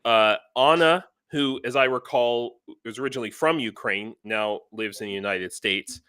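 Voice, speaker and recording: A man's voice: medium pitch, moderate pace, pushed and bright, smooth, no echo, clean studio sound. A man hosting a casual livestream interview, rambling a little and often repeating himself as he feels for his words. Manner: hesitant, rambling, repeats phrases.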